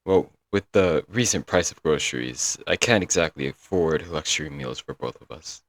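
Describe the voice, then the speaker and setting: Man acting as deep-voiced lovesick broke kidnapper. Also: expressive, normal speed, no room sound, studio quality, exaggerated voice. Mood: sheepish, affectionate. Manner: coaxing, rambling, pet names, nervous chuckles. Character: lovesick broke young male yandere kidnapper, doting, apologetic. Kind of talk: comedic roleplay audio drama, intimate monologue to listener.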